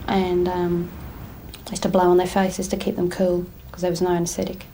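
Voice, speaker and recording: A woman playing a subdued dental worker being interviewed, hesitant and deadpan. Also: lowish voice, a little fast, slight background noise, close to an everyday voice, rough recording. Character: subdued, hesitant female ex-dental helper confessing. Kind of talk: documentary interview parody.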